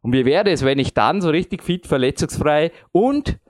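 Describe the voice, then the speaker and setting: A man's voice: on the high side, animated, normal speed. A man in his late thirties talking at length in a casual chat, rambling and self-deprecating with frequent chuckles. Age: 30s. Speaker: podcast host and competitive climber.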